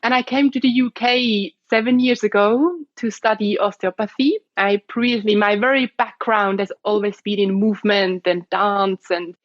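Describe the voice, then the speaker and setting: A woman's voice: mid-range voice, steady tone, moderate pace, few pauses, no room sound. Woman, 30s, Swiss German accent, bubbly, dull dry audio. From Switzerland. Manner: bubbly, laughs often. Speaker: guest, osteopath. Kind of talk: casual interview chat.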